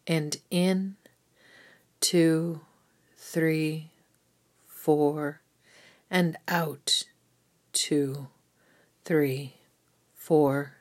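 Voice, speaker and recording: A woman gently leading a guided meditation with soothing, unhurried instructions. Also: on the low side. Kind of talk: guided body scan meditation.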